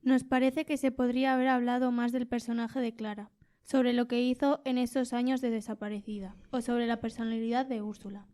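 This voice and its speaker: A woman's voice: on the high side, flat delivery, fairly quick, mellow. A woman interviewer delivering a prepared question to a guest.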